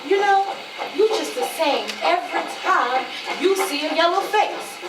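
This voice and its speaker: A woman acting a sassy, jealous, sharp-tongued woman in a stage play, with a scolding manner. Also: very high voice, lively intonation, measured pace, music in the background. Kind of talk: readers theatre stage acting. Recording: echoey, distant, noisy ambience.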